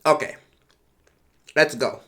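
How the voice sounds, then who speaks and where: A man who is sick and congested, sighing and talking slowly while casually reading game patch notes on a stream. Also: fairly bright tone. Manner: slow, sighing, trailing off. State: congested with flu.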